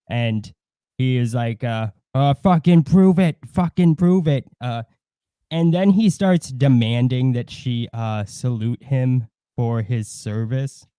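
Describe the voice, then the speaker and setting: A man with a mellow, relaxed voice co-hosts a casual livestream. He rambles and halts as he comments and gossips, swearing freely, and the sound is close and dry. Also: mid-range voice, clean tone, no room sound. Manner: rambling, halting, frequent swearing. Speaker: co-host of a livestream.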